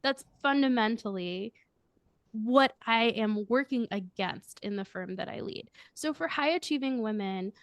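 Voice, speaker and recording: Woman with a high, girlish voice. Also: no echo. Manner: earnest, reflective storytelling. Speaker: guest, coach and firm leader. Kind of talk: podcast interview answers.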